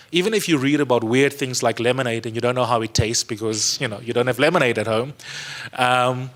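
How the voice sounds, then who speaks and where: A man's voice: mid-range voice, fairly bright tone. Earnest South African man in his thirties. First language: Afrikaans. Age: 30s. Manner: earnest, emphatic, repeats words for stress. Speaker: panel speaker. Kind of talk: speech to a youth audience. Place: museum auditorium with a seated audience. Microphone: handheld mic.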